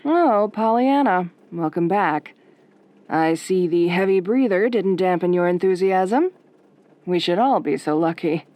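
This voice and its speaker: A woman playing a wry, flirtatious late-night radio DJ, soft and velvety, dryly teasing in an audio roleplay. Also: on the low side, animated, unhurried, no room sound. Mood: smooth, sardonic. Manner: dry, teasing, sardonic.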